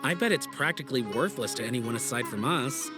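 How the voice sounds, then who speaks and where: An actor playing a confident young student in a video game, explaining things in an assured, reassuring way. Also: male voice, medium pitch, lively intonation, brisk, music in the background, lightly acted. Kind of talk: video game cutscene acting.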